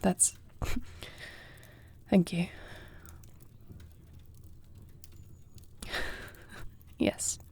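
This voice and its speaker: Woman acting a mellow, earnest young dragon queen. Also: very slow. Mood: tender, apologetic. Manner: earnest, formal, softly intimate. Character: compassionate young dragon queen, self-doubting warrior bride. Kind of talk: scripted fantasy audio roleplay.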